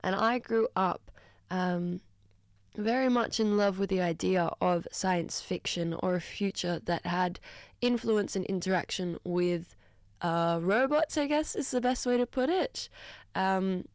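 Young Australian woman, radio host, chatty and upbeat, some vocal fry, dry studio sound. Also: mid-range voice, measured pace, no echo. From Newcastle, Australia. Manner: chatty and upbeat, with frequent ums and asides. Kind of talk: casual radio chat between tracks. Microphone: studio microphone.

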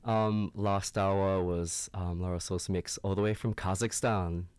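A man's voice: deep voice, steady tone, measured pace, a little mellow, soft background music. A man from China co-hosting a casual radio show, hesitant with many ums. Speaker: radio host.

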